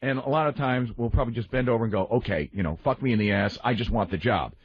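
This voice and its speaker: Husky, blunt man on talk radio. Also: mid-range voice, fast talker, dull audio. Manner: blunt, profane storytelling with acted-out dialogue. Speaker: radio show panelist. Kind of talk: casual radio roundtable chat.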